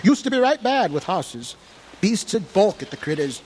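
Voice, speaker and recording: A man's voice: sits high, big pitch swings, moderate pace, a little mellow, a little hiss or hum. An actor playing a frightened, half-mad old drunken townsman in an audio horror drama, cackling and rambling in folksy dialect. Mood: conspiratorial, grim. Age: older.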